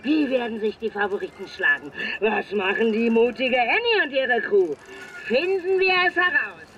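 A woman playing an excitable race commentator at a pirate boat race in a children's radio play, breathless and dramatic. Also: a little high, moderate pace, lo-fi sound. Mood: dramatic buildup.

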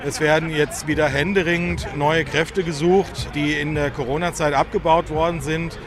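A man's voice: a little high, flat delivery, moderate pace, background noise. A man speaking as a union official in an outdoor interview, stating demands firmly.

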